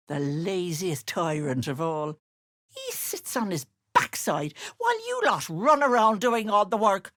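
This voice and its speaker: Old hag, game villain, sugary and menacing, animated swooping pitch, unhurried, dry close sound. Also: female voice, dry recording, exaggerated voice. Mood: mocking. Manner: sugary endearments with sly, mocking menace. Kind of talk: video game character acting.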